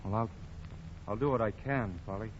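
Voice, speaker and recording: A man's voice: on the low side, normal speed, background noise, lightly acted. An actor playing a harried young man who stammers when flustered, in a 1940s radio drama. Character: harried young man, the old man's son. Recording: dull old radio broadcast.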